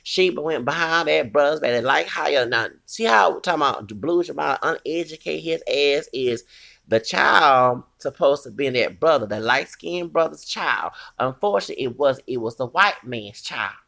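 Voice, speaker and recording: A man's voice: a little high, animated, moderate pace, creaky, pushed and bright. A man, ranting in a heated, rambling commentary and scolding his critics with curses. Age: 40s.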